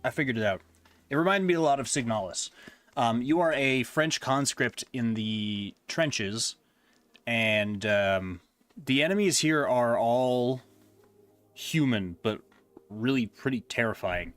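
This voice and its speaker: A man chatting casually on a live stream, rambling and hesitant with many fillers, his voice going hoarse. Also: mid-range voice, animated, unhurried, fairly bright tone. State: losing his voice. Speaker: streamer ranking games he reviewed.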